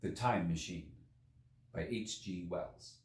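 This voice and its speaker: A man reading a literary story aloud as an audiobook narrator, with an expressive, measured, theatrical delivery and deliberate pauses. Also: low voice. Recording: roomy echo, distant from microphone.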